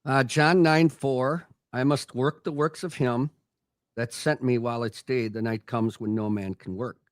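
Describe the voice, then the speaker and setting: Man with a middle-pitched voice preaching hard on a radio show, emphatic, dry mic. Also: steady tone, unhurried, no echo. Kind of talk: preaching commentary.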